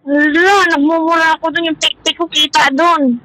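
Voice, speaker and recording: A woman's voice: high-pitched, little pitch movement, moderate pace, sharp tone, low-quality recording. A woman with a Filipino accent chatting casually over a helmet intercom headset. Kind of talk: casual chat.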